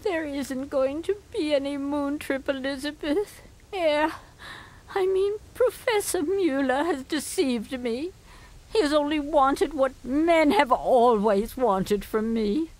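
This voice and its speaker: A woman's voice: high-pitched, slow, darkish tone, low-quality recording. An actress playing a lonely older woman in an audio play, dreamy, proud and easily wounded. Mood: downcast.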